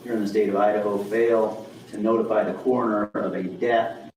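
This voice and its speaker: Distant echoey recording, man, flat low voice, formal halting court reading, background noise. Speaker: court official reading a charge.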